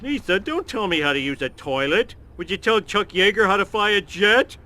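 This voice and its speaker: A man voicing a loud, dim, blustering middle-aged American dad in an animated comedy game. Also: high voice, moderate pace, a little hiss or hum. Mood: grumpy.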